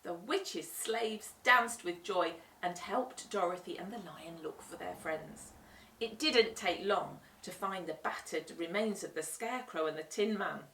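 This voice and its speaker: A woman reading a children's storybook aloud with expressive narration. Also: fairly low pitch, measured pace, creaky, far from the mic, slight background noise. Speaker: storytime reader. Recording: roomy echo, away from the mic.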